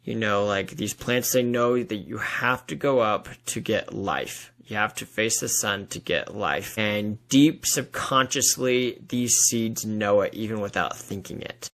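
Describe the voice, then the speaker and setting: A man's voice: on the low side, a little slow, few pauses, a bit bright. Earnest explanatory man, conversational. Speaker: podcast host. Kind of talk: casual interview discussion on faith.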